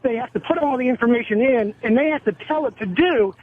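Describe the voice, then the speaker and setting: A man with a very high voice comes through a thin, slightly noisy phone line. He is a radio caller making his case about flying, measured but dismissive. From United States. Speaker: radio show caller, airline pilot. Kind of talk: argumentative call-in discussion.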